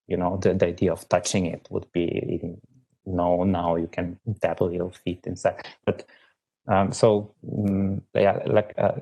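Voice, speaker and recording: A man in his thirties from Slovenia, answering interview questions in a halting, reflective way, with many pauses and restarts. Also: low voice, vocal fry, dark tone. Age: 30s. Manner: halting, thinks aloud with many ums and restarts. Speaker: poet guest.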